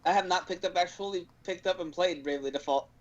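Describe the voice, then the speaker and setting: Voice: medium pitch, fast talker. A podcast host talking casually and emphatically about gaming news, ranting at length. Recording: dull lo-fi stream audio.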